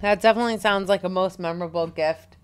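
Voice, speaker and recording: A woman in her thirties co-hosting a casual podcast, chatty, teasing and animated. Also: lowish voice, quick pace, clean tone. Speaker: podcast co-host. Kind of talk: casual chat.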